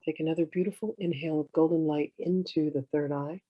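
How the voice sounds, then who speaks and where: A meditation teacher guiding in a soothing, unhurried way, with gentle instructions in drawn-out phrases. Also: medium pitch, steady tone, no room sound, highs cut off. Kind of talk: guided meditation, slow instruction.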